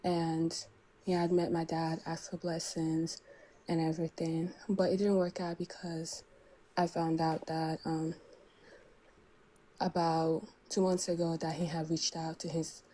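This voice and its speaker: A woman calls in to ask for advice. Her voice sits low and stays flat with a dark, mellow tone, and she hesitates often, restarting her sentences. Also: measured pace. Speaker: caller seeking advice. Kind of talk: telling a personal story.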